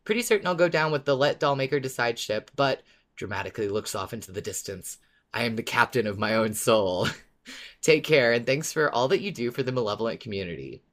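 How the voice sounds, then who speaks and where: Co-host for a listener-discussion podcast: medium-pitched, conversational, tentative phrasing. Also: fairly bright tone. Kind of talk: casual discussion, reading listener submissions.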